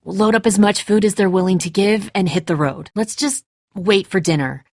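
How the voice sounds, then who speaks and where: A woman's voice: mid-range voice, moderate pace, a little mellow, dry recording, clean studio sound, close to an everyday voice. An actress playing a tense, defensive woman who leads a group of survivors in a dramatic video game, blunt, confrontational and exasperated. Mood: worried.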